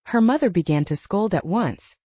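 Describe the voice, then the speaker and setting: Mellow woman narrator with lively pitch. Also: moderate pace, breathy edge, dry recording, lo-fi sound. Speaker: audiobook narrator. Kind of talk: scripted literary narration, reading dialogue in character.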